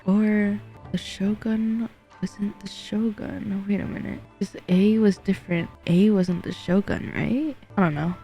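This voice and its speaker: A woman's voice: mid-range voice, fairly even intonation, unhurried, warm tone, faint music behind. A woman streaming a game, giving informal, emotive reaction commentary into a close mic. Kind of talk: casual gameplay reaction commentary.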